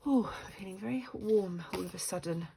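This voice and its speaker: An older woman with a croaky voice, chatting and thinking aloud as she works on a junk journal at her craft desk at home. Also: mid-range voice, brisk, warm tone, slight background noise. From United Kingdom.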